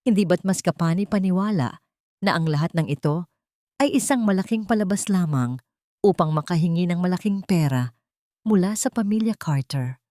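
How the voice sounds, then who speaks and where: A woman voicing a hostile male lawyer in an audio drama, forceful, formal and full of accusing insinuation. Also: on the low side, expressive, moderate pace, no room sound, studio quality, close to an everyday voice. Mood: scornful, accusing. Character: hostile male defense lawyer, sneering, accusatory courtroom attacker.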